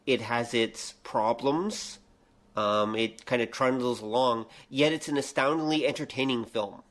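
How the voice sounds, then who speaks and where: A man with a medium-pitched voice speaks as a podcast co-host. His delivery is informal and conversational, in the manner of easy back-and-forth banter. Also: a little slow, a bit bright.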